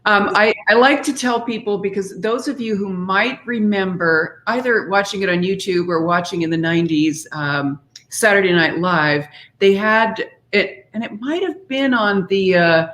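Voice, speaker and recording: A woman's voice: mid-range voice, normal speed, hardly any pauses, a bit bright. A woman speaking as an interview guest, explaining singing diction conversationally and demonstrating by example. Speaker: interview guest, singer and author.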